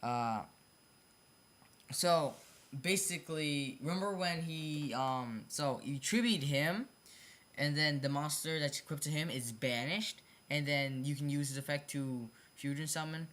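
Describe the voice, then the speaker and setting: A hobbyist video creator with a sharp, bright voice explains card designs in a casual, unscripted way. They stumble over phrasing, circle back to repeat points and apologize for their own slips. The pitch sits in a middle range. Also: a little slow. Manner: repetitive, self-correcting, stumbles over phrasing; apologetic about own mistakes.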